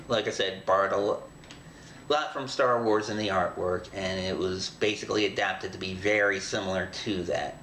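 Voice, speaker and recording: A man hosting a show with casual, unscripted commentary, speaking in a halting, rambling way. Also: lowish voice, fairly bright tone. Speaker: host of an audio drama show. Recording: roomy echo, faint background noise.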